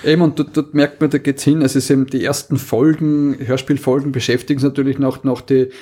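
A man's voice: medium pitch, level tone, a little fast, mellow. A man with an Austrian accent chatting casually as a podcast co-host, often repeating and restarting his phrases. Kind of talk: casual chat about audio drama series.

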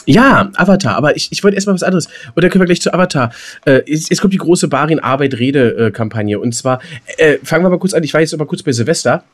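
A man chatting casually as a podcast co-host, colloquial and halting, rambling from thought to thought. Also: fairly high pitch, animated. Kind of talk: casual, unscripted podcast chat.

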